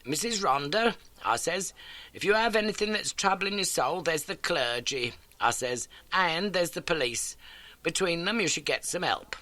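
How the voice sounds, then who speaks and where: A man's voice: fairly high pitch, lively intonation, normal speed, pushed and bright, pitched above the performer's own voice. A man voicing an elderly, motherly working-class landlady in an audiobook, garrulous, earnest and folksy. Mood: anxious, confiding. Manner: chatty, folksy, dramatic storytelling. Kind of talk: audiobook character acting.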